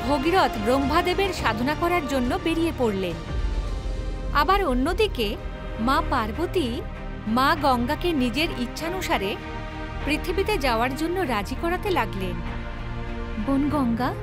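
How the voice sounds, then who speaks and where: A woman narrating a scripted mythological cartoon story in a dramatic, expressive way. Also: high voice, a little slow. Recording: loud background music under voice.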